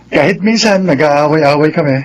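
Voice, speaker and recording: An actor playing a gentle, remorseful ex-convict older brother in a Filipino radio drama. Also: male voice, fairly high pitch, normal speed, dull audio, natural voice. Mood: earnest.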